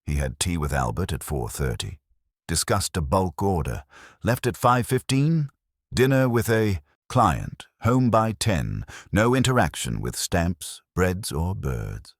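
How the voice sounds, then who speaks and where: A man reading a first-person detective mystery as an audiobook narrator, with wry, measured storytelling. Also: low-pitched, very animated, no room sound, studio quality.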